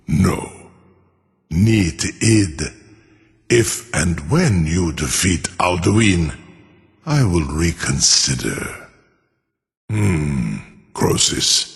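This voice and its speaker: An ancient dragon from a fantasy game, proud and cunning. The voice is low and crackles with gravel, wrapped in long cavernous reverb. Words are formal and grandiose, each one savored. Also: male voice, exaggerated voice. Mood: sly bargaining. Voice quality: deep, gravelly growl. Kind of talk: fantasy video game acting.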